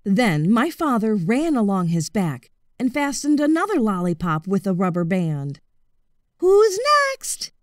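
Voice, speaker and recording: A woman narrating a children's audiobook in an expressive, measured storytelling manner. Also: mid-range voice, no echo, clean studio sound.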